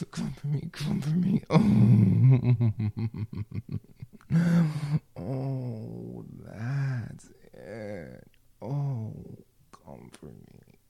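A man speaking in an intimate, whispered audio roleplay, breathy and murmuring, with frequent sighs. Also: medium pitch, dark tone.

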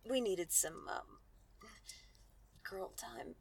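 A woman playing a seductive, dominant yet tender lover in an intimate adult audio roleplay, with a breathy voice. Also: mid-range voice, extremely slow, full character voice. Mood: flustered, breathless.

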